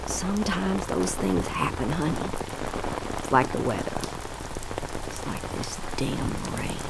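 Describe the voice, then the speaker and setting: A woman's voice: rumbling low, a little slow, creaky, very noisy, heavily acted. An actress playing a folksy, motherly, plain-spoken older woman in a radio drama. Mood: firm, resigned. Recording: steady rain behind the voice.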